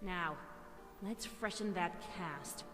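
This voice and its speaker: Woman as a menacing nurse pony, heavily echoing. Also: lowish voice. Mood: quiet menace. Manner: condescending, deliberate. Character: sinister, falsely sweet nurse pony, menacingly condescending. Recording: echoey long reverb, faint music.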